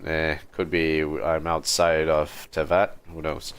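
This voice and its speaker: A man's voice: extremely low pitch, little pitch movement, slow, fairly bright tone. A man doing casual live commentary as a game streamer, muttering and musing aloud over faint game noise.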